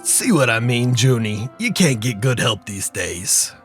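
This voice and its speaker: A man playing a coldly threatening, businesslike crime boss in a noir radio drama, speaking formally and deliberately. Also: mid-range voice, expressive, fairly bright tone, faint music behind. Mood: contemptuous.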